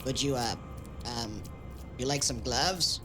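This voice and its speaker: An actor playing a nervous, deferential crime-scene underling in a noir audio drama, halting and stammering timidly. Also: male voice, medium pitch, over music, close to an everyday voice.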